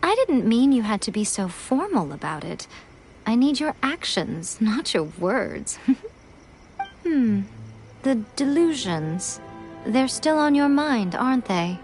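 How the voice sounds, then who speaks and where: Coy, teasing shrine maiden. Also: female voice, fairly high pitch, sing-song, slow, darkish tone, heavily acted. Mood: coy, amused. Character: playful, self-assured, teasing, cunning shrine maiden. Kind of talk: video game voice acting. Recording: music under the voice.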